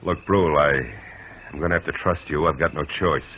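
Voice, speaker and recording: An actor playing a tough, wry private detective who narrates and talks in a clipped, sardonic way in an old radio drama. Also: male voice, rumbling low, brisk, a little hiss or hum. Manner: hard-boiled, clipped, sardonic. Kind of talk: 1940s radio acting. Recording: dull old radio recording with music.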